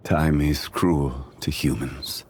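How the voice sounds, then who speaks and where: A man voicing an ancient, composed deity in human guise for a video game, speaking in a measured, formal, contemplative way. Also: extremely low pitch, steady tone, a little mellow, slight background noise. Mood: reflective. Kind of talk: video game character voice lines.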